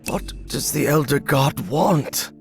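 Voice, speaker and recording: A man's voice: a little high, slow pace, gravelly. An actor playing an anxious, earnest male professor in a story game, hesitant and full of feeling as he speaks. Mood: bewildered. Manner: hesitant, emotional, questioning. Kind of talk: cinematic game voice acting.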